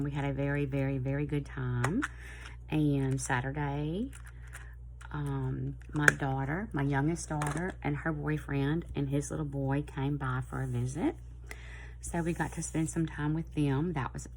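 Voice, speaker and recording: A middle-aged woman with a Southern US accent, chatting casually and a little hoarse, in a rambling, friendly way. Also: rumbling low, a little mellow, slight background noise, poor audio. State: slightly hoarse. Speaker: planner vlogger. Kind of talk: casual chat to camera.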